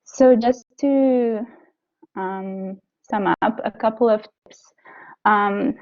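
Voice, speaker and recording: A woman's voice: mid-range voice, very slow, muffled recording. A young woman university student, not a native English speaker, talks informally about her courses, hesitating often with ums and restarts. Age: young adult. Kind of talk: informal talk on course choices.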